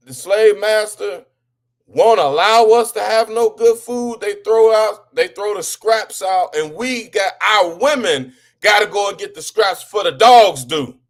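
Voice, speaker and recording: A man hosting a live talk show, talking casually to viewers and often repeating words and restarting, rising louder when impassioned. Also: high voice.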